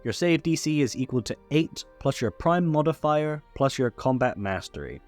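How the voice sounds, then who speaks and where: Man narrating a tutorial, lively intonation. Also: mid-range voice, normal speed. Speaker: tutorial host. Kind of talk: scripted explainer narration. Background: background music.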